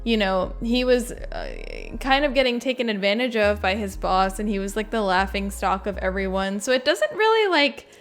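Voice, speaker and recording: A woman's voice: medium pitch, big pitch swings, normal speed, hardly any pauses, faint music behind. A woman giving casual reaction commentary in an informal, chatty way, with frequent use of "like". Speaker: gaming video creator.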